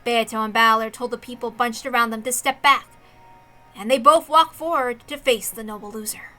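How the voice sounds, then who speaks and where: High voiced lady narrating a visual novel, bright n dramatic, music playing behind her. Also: fairly even intonation, normal speed. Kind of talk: dramatic story reading. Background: background music.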